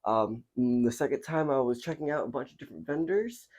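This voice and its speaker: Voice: medium pitch, expressive, brisk, a little mellow. A podcast host chatting casually and enthusiastically, rambling with many fillers, recorded indoors at a spiritual center. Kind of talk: casual interview chat. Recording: dull lo-fi recording.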